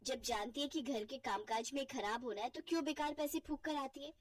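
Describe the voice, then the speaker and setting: A woman's voice: sits high, a little fast, far from the mic. A woman voicing a bossy, nagging young housewife who scolds huffily in a family cartoon. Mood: scolding. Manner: scolding, huffy. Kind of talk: Hindi family cartoon voice acting.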